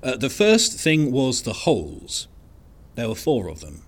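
A man playing an unassuming, wry and resigned archivist in an audio drama monologue, speaking in a dry, understated, conversational way with hesitant pauses. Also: medium pitch, huge pitch swings. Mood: matter-of-fact, wry. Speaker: narrator of an audio fiction podcast.

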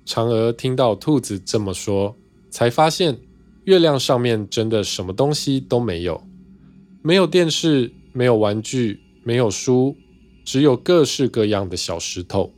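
A medium-pitched storyteller narrates a children's tale from a script. The phrasing is simple and storybook-like, with music playing underneath. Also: animated, a little slow, darkish tone.

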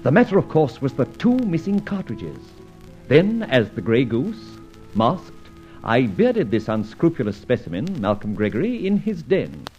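An actor narrating and playing a suave, confident masked gentleman adventurer in an old radio drama, with a mocking, commanding manner. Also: medium pitch, huge pitch swings, unhurried, soft background music. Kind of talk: 1940s radio acting. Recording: dull old radio recording.

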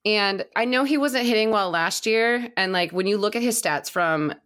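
A woman's voice: mid-range voice, moderate pace, fairly bright tone. A woman chatting casually and enthusiastically as a co-host of a baseball fan podcast. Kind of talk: casual chat.

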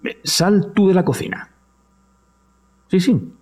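A man's voice: high-pitched, expressive, slow, darkish tone, husky, full character voice. An actor with a Castilian Spanish accent playing a nervous, agitated man in an audio drama, rambling and repeating himself. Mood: excited. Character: agitated man convinced his house hides his favourite food.

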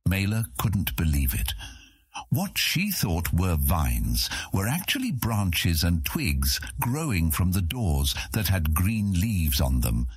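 Male audiobook narrator, dramatic fantasy storytelling, lively varied intonation, very dry close sound. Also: low voice, takes it slowly, slightly rough, dry recording.

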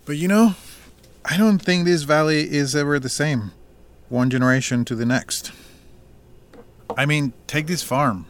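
An actor playing a proud, plainspoken farmer with a teasing streak in a naturalistic audio drama. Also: male voice, fairly high pitch, a little slow, pauses often, slight background noise, lightly acted. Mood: reflective, earnest.

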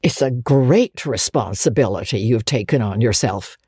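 A woman's voice: very deep voice, expressive, moderate pace, darkish tone, slightly rough, no room sound, clean studio sound, pitched below the performer's own voice, exaggerated voice. A woman narrator voicing a nosy, disapproving older village busybody in a storybook, gossipy and gloomily judging. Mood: gloomy.

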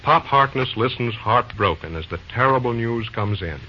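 A man's voice: medium pitch, lively intonation, normal speed, a little hiss or hum. A man narrating a radio serial as its announcer, in a scripted, dramatic, declamatory style. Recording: muffled old-time radio with music. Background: music.

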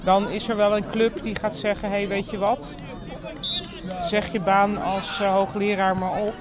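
A woman's voice: mid-range voice, little pitch movement, unhurried, a crowd in the background. A woman chatting casually as a podcast co-host. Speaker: writing teacher. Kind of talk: casual chat. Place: indoors on a couch.

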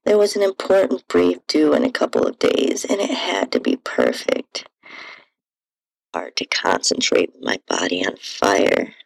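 A woman narrates a first-person story in a breathy, husky voice full of gravelly fry. Her delivery is sultry and slow, with pitch that swings widely from low creak to lighter tones. It sounds intimate, like an audiobook. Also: sing-song, dry recording, low-quality recording. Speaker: podcast host and narrator.

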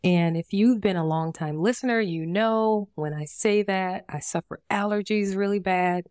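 A woman hosting a podcast and talking casually on her own. Her voice is raspy from allergies, and she chats with plenty of fillers and asides. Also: medium pitch, normal speed, a little mellow, no echo, clean studio sound. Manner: chatty and conversational, with frequent fillers and asides. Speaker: podcast host. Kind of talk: casual solo podcast monologue.

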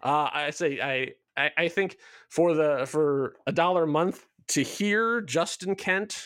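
Guy with a highish voice rambling about his show, jokey and self-deprecating, really dry recording. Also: a little slow, fairly bright tone, dry recording. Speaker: show creator being interviewed. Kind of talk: casual promotional chat.

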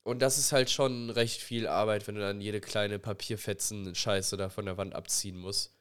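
A man's voice: on the low side, fairly even intonation, normal speed, smooth. Casual young man with a cold. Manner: rambling, filler-heavy, colloquial. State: has a cold. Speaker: podcast co-host. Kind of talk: casual chat over a voice call. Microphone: recording mic, directly connected.

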